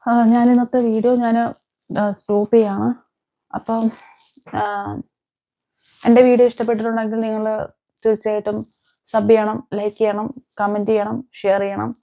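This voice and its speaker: Mellow higher-pitched woman, emphatic commentator. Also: flat delivery, moderate pace, long pauses, dull audio. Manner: emphatic, repeats phrases and asks rhetorical questions. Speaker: vlogger, host. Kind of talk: opinionated crime commentary to viewers.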